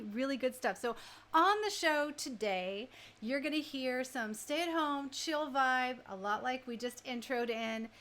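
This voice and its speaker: A woman's voice: on the high side, a bit bright. A woman hosting a casual livestream music show, chatting effusively and enthusiastically to her listeners. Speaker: host, DJ.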